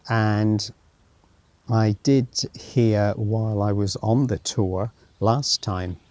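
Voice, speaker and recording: A man's voice: on the low side, warm tone. A man giving an unscripted travel commentary as he walks, musing to himself with wry asides and sometimes reading signs aloud. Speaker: travel vlogger. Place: outdoors, walking around a former military town.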